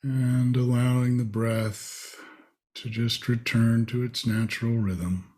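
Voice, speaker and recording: A man leading a guided meditation, speaking in short, spacious phrases with long pauses. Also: mid-range voice, flat delivery, a little mellow.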